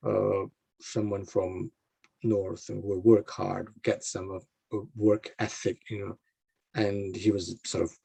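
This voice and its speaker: A man's voice: fairly low pitch, vocal fry, warm tone, slightly rough, no echo. A man giving an informal, conversational explanation to a group of learners, often restarting phrases and checking in with "right?". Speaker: guest speaker at an English club.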